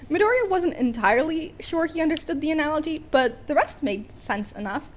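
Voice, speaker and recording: A woman's voice: high-pitched, lively intonation, fairly quick. A woman reading a scripted comic story as an amateur narrator, wry in manner, sometimes stumbling and rereading phrases. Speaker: amateur audiobook reader.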